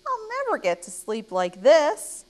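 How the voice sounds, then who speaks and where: A woman's voice: on the high side, very animated, measured pace. A woman voicing an exasperated, sleepless little girl in a children's storybook, earnest and pleading.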